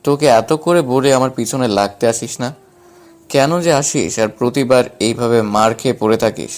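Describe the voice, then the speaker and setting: A man's voice: mid-range voice, brisk, soft background music, lightly acted. A man playing a confident, defiant young romantic hero in an audio drama. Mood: defiant, taunting. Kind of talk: dramatised audio story dialogue.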